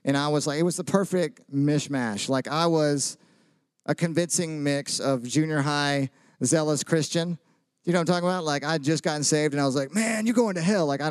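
A man preaches in a loose, joking way, asking his listeners questions and telling stories about himself. His voice sits a little high for a man. The sound is dry and close. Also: clean tone, dry recording. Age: 30s. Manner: chatty, joking, asks the audience questions. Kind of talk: casual sermon with personal stories.

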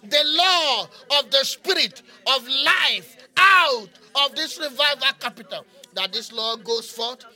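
A man with a very high, sharply bright voice prays as a charismatic preacher. His delivery is impassioned and incantatory, built on repeated phrases and mixed with speaking in tongues. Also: lively intonation, slow pace, hardly any pauses, faint music behind. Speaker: preacher leading prayer.

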